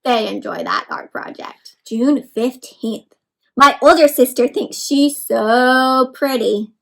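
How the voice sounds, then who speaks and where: High-voiced woman reading aloud to children. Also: takes it slowly, vocal fry. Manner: addresses children directly, asking questions and explaining words. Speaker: read-aloud storyteller for children. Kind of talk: interactive picture book read-aloud.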